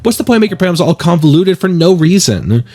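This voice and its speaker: A man's voice: high-pitched, big pitch swings, fast talker, dark tone, pitched above the performer's own voice. A man voicing a feisty, defensive teenage girl in a whiny, combative way for a visual novel game. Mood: indignant, whiny.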